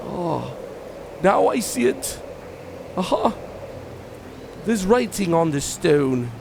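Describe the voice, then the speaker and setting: A man playing a frightened, remorseful old miser who pleads haltingly in a radio play. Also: a little high, sing-song, vocal fry, background noise, exaggerated voice. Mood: dread.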